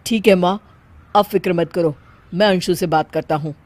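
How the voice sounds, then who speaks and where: A woman's voice: fairly low pitch, moderate pace, a little mellow, natural voice. Exasperated young husband, voiced by a woman. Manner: exasperated, raising his voice.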